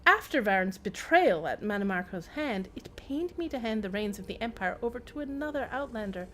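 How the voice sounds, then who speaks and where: A woman's voice: mid-range voice, expressive, a little fast, a bit bright, slight background noise, lightly acted. A woman voicing a pompous old imperial chancellor, declaiming his journal aloud from a fantasy game. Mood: self-important. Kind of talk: dramatic read-aloud of in-game text.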